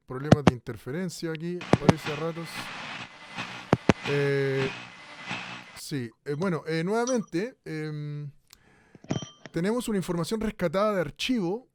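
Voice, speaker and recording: A man's voice: fairly high pitch, very noisy, close to an everyday voice. A man playing a radio host in a crisis broadcast, talking with many fillers. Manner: hesitant, full of fillers. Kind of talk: radio drama acting a live talk show.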